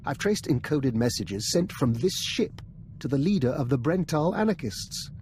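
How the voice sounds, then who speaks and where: A man's voice: mid-range voice, expressive, a little mellow, slight background noise, natural voice. Actor as a polite, precise male analyst. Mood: matter-of-fact. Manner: formal, measured, precise. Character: polite, inquisitive, analytical male intelligence analyst, subtly suspicious. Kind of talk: video game dialogue acting.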